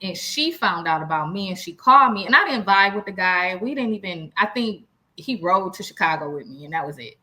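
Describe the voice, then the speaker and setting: A woman's voice: mid-range voice, lively intonation, brisk, sharp tone. An American woman co-hosting a casual relationship talk, sharing anecdotes in a frank, slangy and emphatic way. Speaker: podcast co-host.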